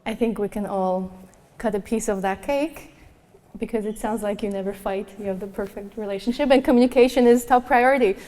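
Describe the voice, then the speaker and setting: A woman's voice: mid-range voice, steady tone, fairly quick, dark tone. Woman, panel moderator, upbeat, encouraging, handheld mic, reverberant hall. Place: conference hall on stage.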